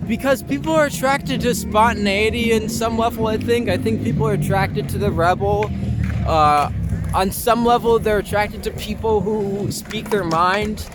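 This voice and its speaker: A young man with a fairly high voice talks casually as an interview guest, informal and free with his opinions. He is recorded outdoors in a city, with music clearly playing behind him. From Long Island, New York. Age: young adult. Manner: informal, mildly profane, opinionated. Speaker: interview guest, actor and performer. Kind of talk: casual street interview chat. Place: outdoors in an urban public spot.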